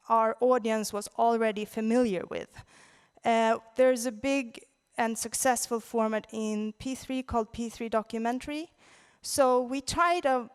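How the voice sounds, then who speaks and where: A woman with a Swedish accent presenting as a conference speaker, in a halting way, searching for words. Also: fairly high pitch, clean tone. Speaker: conference speaker, audio drama producer. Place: large indoor conference venue. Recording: reverberant conference hall.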